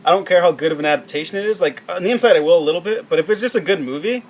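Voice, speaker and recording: Male podcaster, fast and blunt, voice a touch high, casual film talk. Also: poor audio. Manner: blunt, swearing. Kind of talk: casual opinionated film chat.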